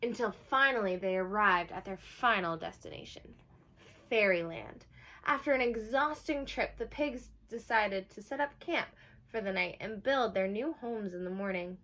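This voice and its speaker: A woman teacher reading a storybook aloud to young children, pausing to explain simply and ask them questions. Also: medium pitch, animated, pushed and bright. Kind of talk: children's storybook read-aloud with asides to listeners.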